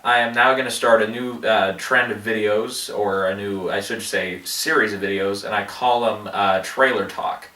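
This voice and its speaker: A man with a head cold rambling through movie commentary, halting with many fillers and restarts. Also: on the low side, fairly even intonation, sharp tone, recorded from a distance. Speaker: movie box office vlogger. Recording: echoey room, voice off-mic.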